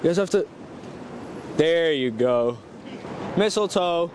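A man filming a playful prank vlog out in a busy crowd, teasing strangers loudly, cold and sniffly. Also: fairly high pitch, lively intonation, slow pace. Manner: loud, playful, teasing, swears casually. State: cold, runny nose. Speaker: prank vlogger. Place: outdoors in a busy city crowd. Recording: loud music and crowd noise outdoors. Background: loud music and crowd.

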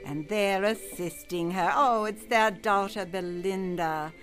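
Woman as a slow, solemn guiding spirit. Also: mid-range voice, faint music behind, lightly acted. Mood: observing, gentle. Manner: measured, portentous. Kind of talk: radio play acting.

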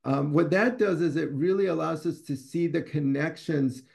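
Author, 60s, from Ecuador: gravelly, mellow voice, halting and thoughtful, webcam in a study. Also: male voice, a little high. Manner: thoughtful, hesitant with frequent fillers and restarts. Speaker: author and scholar. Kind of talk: conversational talk on a video call. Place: home study with bookshelves. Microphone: video call webcam.

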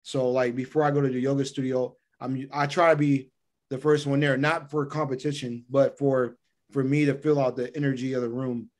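A man's voice: medium pitch, flat delivery, normal speed, a little mellow. A middle-aged man speaking as a podcast guest over a video call, explaining his routines conversationally and repeating phrases for emphasis. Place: indoor room by a window, on a video call.

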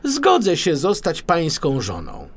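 A haughty, greedy storybook widow, acted out by a male reader, speaking in a raised pitch with lively swings. She is stout and imperious, exclaiming over music. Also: takes it slowly, full character voice. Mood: condescending.

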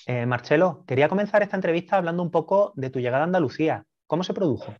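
A man's voice: on the high side, lively intonation, racing, dull audio. A man in his thirties hosting a video-call interview, polite and friendly, opening with a scripted welcome before asking questions. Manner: friendly, polite, short backchannels. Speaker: interviewer and show host. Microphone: video call webcam.

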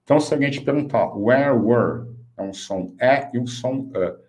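A man in his fifties with a Brazilian accent, a native Portuguese speaker, teaching an online English lesson and slowly enunciating example phrases. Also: medium pitch, darkish tone. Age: 50s. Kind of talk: online language lesson, explaining and modelling phrases.

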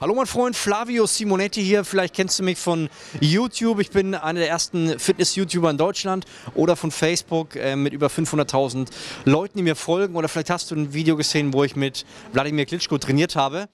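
A man's voice: a little high, brisk. A man who is a fitness influencer greeting the viewer in a friendly, direct way.

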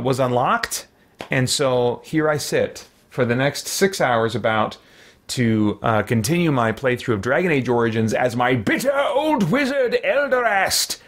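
Guy in his 40s doing a let's play stream, kinda rambly, stops and starts, medium pitch. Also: fairly bright tone. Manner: hesitant, rambling, self-deprecating. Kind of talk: casual livestream chat. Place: small room at home.

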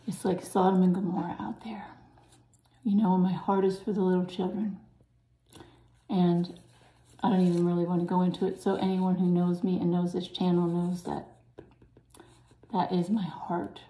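Raspy lady preaching to her channel, low mellow voice, earnest and urgent, goes soft and breathy a lot. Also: reverberant, slight background noise, poor audio. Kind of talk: informal preaching to viewers.

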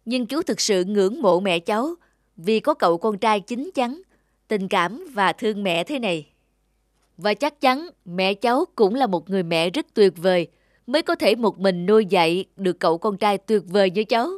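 A man playing a courteous middle-aged businessman and gentle suitor in an animated drama, polite, measured and sincere. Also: high-pitched, dry recording, studio quality. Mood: kindly. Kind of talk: animated moral drama acting.

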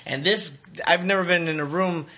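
Male podcast host, casual rambling talk, bright forceful voice, slightly higher pitch. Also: normal speed.

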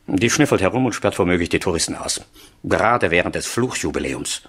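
An excitable, aggrieved lord of a castle in a vintage radio crime comedy. His voice is fairly low but leaps around in pitch, with a husky edge, and he frets theatrically, repeating himself. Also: fairly quick, exaggerated voice. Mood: conspiratorial, fussy. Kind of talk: 1970s German radio crime comedy acting.

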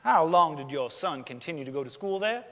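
A man's voice: on the high side, lively intonation, fairly quick, a bit bright. A man playing a formal lawyer questioning witnesses in a staged period courtroom drama. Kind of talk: staged dramatic reading of a trial. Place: large theater stage, indoors. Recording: hall reverb from a theater stage.

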